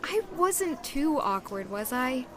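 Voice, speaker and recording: A woman playing a shy, self-doubting young woman who was once an orphan, in an audio drama. She also narrates in the first person and talks in a soft, modest, hesitant way. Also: high voice, sing-song, slow, a crowd in the background, full character voice. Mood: unsure. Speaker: narrator and lead character.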